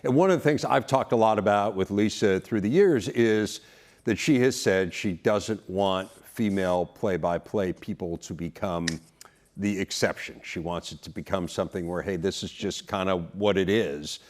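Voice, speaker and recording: A man's voice: low-pitched, normal speed, a little mellow. An American man presenting and interviewing as the host of a sports studio show.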